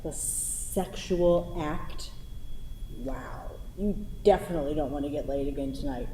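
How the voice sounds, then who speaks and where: An actress playing a sharp, exasperated woman lover with a sardonic, challenging manner in a staged play reading. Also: sits low, unhurried. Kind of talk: staged play reading before a live audience. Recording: echoey hall, noisy, distant reverb.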